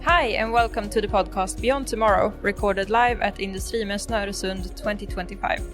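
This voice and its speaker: A woman hosting a podcast interview, asking brief, measured questions. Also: medium pitch, music in the background. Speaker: podcast host, consultant. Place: live event podcast booth.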